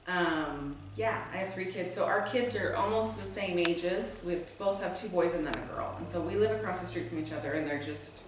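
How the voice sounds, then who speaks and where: Distant in a very echoey room, faint noise, American woman, lowish voice, casual interview guest. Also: normal speed, few pauses. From Utah, United States. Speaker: interview guest, baker and business co-owner. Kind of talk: casual chat.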